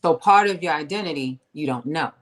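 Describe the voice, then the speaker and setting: A woman host from New with a low voice. She speaks in a lively, emphatic and slangy way, leaning into her points in casual conversation. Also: a little fast, slight background noise. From New York. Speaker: podcast host.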